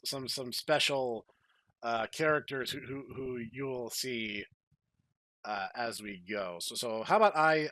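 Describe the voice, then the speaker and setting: A man hosts a podcast in a dry, close recording. His mid-pitched voice turns theatrical and mock-grandiose, and he often stalls and repeats words while bantering. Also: fairly bright tone, dry recording. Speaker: podcast host.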